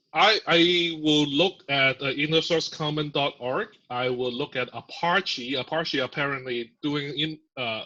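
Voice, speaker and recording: Male guest, bright and forceful, chatty with fillers; dull lo-fi sound. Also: medium pitch. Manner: chatty, filler-heavy explaining with laughs. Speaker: podcast guest, software engineer. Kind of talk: casual interview. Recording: muffled lo-fi audio.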